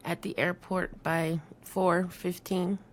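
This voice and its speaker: A woman's voice: fairly low pitch, level tone, slow, slight background noise. A tired woman co-hosting a casual podcast, laughing often as she recounts things with her partner. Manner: giggly, agreeable, recounting. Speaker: podcast co-host. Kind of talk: casual chat.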